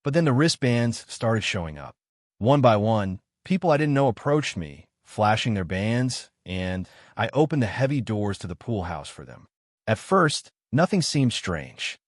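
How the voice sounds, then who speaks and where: A man narrating a true horror story in the first person, with halting, suspenseful delivery in short broken phrases. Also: on the low side, dry recording, clean studio sound.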